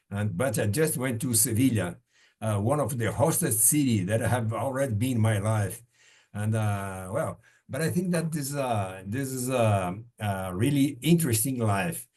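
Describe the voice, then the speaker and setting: Halting man asking interview questions. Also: fairly low pitch, darkish tone. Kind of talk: casual interview.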